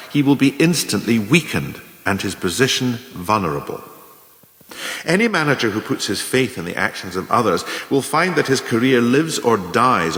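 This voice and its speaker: A deep, echo-drenched radio drama monologue from a self-important male manager, played by an actor. He declaims cynical career lessons in formal phrases, rising and falling dramatically and pausing for weight. Long hall reverb hangs on every sentence. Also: a bit bright. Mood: grave, instructive. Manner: formal, emphatic, oratorical, with dramatic pauses. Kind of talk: scripted radio play monologue, declamatory lecture.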